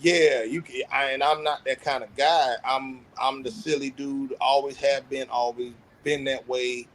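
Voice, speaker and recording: Thirties man, podcast panelist: earnest, self-reflective, medium pitch, candid casual talk. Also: level tone, measured pace, a bit bright, low-quality recording. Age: 30s. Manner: earnest, self-reflective, opens up about feelings.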